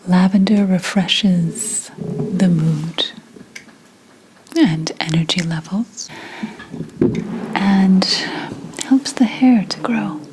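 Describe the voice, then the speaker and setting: A brooding old-world witch healer acted by a woman whispers breathily in an ASMR roleplay. She speaks very slowly and solemnly, conspiratorial and dramatic, in a lowish voice. There is clear noise behind her whisper. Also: darkish tone, low-quality recording. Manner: solemn, dramatic, deliberate pauses.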